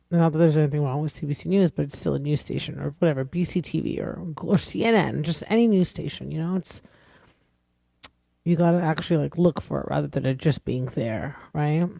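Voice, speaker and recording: Earnest woman in her forties, mellow voice. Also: sits low, a little fast, vocal fry, no echo, studio quality. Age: 40s. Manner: earnest, rambling, conversational. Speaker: podcast host. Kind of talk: casual podcast monologue.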